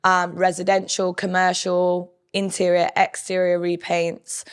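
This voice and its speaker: A woman in her thirties with a croaky, husky voice, telling her story candidly as a podcast guest. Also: on the low side, completely flat delivery, measured pace. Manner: candid, storytelling, lists things off. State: hoarse, croaky. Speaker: podcast guest, business co-owner. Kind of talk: casual interview chat.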